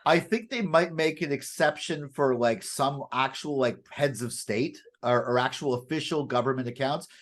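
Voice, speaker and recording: A man co-hosting a casual current affairs chat, often repeating and rephrasing himself in a halting way. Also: mid-range voice. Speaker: podcast co-host and writer.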